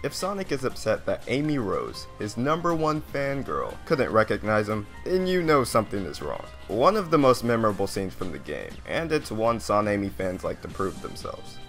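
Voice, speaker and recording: A man's voice: fairly low pitch, very animated, moderate pace, few pauses, fairly bright tone, soft background music. A man reading a scripted countdown narration as the host of a video.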